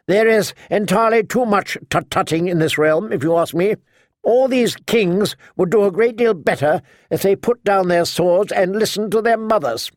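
A man voicing a sharp-tongued old noblewoman in an audiobook, imperious, blunt and talkative. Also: high-pitched, normal speed, no room sound, studio quality, voice pushed up, close to an everyday voice. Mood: wry, scornful. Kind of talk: audiobook character acting.

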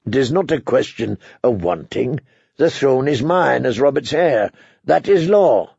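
An unbending, bitter king acted by a man in a fantasy audiobook. He speaks in a husky, creaking voice with slow, humorless authority. The recording is dry. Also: mid-range voice, lively intonation, a little mellow, dry recording, lo-fi sound, heavily acted. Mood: bitter, brooding. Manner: commanding, clipped, humorless. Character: stern, unbending, bitter king of iron will.

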